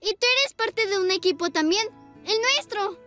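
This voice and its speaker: Very high childlike cartoon vehicle. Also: normal speed. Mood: encouraging. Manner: cheerful, expressive, encouraging. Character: enthusiastic, supportive little child vehicle friend. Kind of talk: animated children's cartoon dubbing. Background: music behind the voice.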